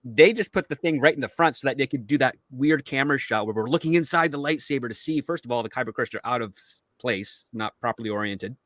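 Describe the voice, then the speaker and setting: Fast-talking, explanatory man, dry sound. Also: mid-range voice, fairly bright tone, dry recording. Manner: explanatory, detail-focused. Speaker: show panelist. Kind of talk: analytical fan commentary.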